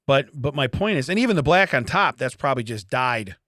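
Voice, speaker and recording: A man's voice: mid-range voice, expressive, fairly quick, fairly bright tone, no echo, studio quality. A middle-aged man co-hosting a comedy podcast, rambling through odd facts in casual chat and repeating phrases for comic emphasis.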